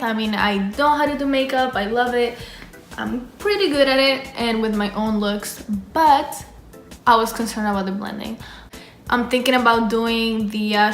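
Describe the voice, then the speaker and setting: Chatty young woman in an echoey room. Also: on the high side. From Dominican Republic. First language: Spanish. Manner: chatty, swears casually, trails off and restarts. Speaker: beauty vlogger. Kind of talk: casual makeup tutorial chat. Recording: echoey room with background music.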